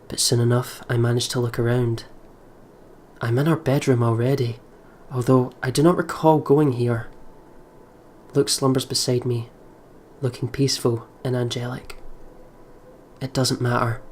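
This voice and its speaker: A let's play host reading a horror visual novel aloud and commenting casually, with excitable, jumpy reactions. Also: mid-range voice, normal speed, long pauses, a little hiss or hum. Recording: music behind the voice. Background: game music.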